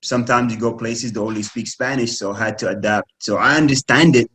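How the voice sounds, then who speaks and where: A man's voice: mid-range voice, brisk, fairly bright tone. Guy in his thirties, polite and laughs easy. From Kuwait. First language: Arabic. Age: 30s. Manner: polite, keeps saying yes sir, laughs often. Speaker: guest, former runner and coach. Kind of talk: casual interview answers.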